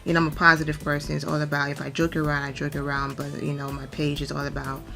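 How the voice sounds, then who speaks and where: Hoarse, gravelly-voiced woman vlogger. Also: sits low, completely flat delivery, fast talker, sharp tone, faint music behind. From Atlanta, Georgia. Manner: chatty, rambling with many fillers. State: hoarse, nearly losing her voice from allergies. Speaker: celebrity gossip vlogger. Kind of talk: casual gossip commentary.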